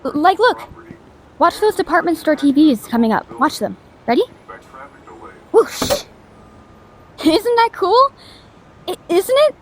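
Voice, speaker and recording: A woman's voice: extremely high pitch, big pitch swings, takes it slowly, long pauses, slight background noise, full character voice. A woman voicing a shy, awkward young female ghost in an intimate audio drama, stammering, hesitant and apologetic, anxious but kind. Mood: excited. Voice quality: soft stammer. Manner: hesitant, apologetic, self-doubting, rambling.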